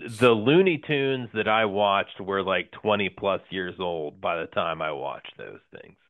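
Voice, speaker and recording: A man in his forties co-hosting a podcast in casual chat, hesitant with many fillers and quick to laugh. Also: low-pitched, dry recording. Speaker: podcast co-host. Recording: tinny phone-like line.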